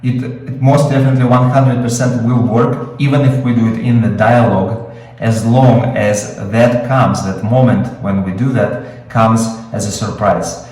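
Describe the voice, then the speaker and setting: A man's voice: mid-range voice, fairly even intonation, unhurried, a little mellow, very echoey, distant mic, rough recording. A man with a Russian accent, Russian his first language, teaching screenwriting in an improvised webinar, explaining with many fillers and restarts. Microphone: video call.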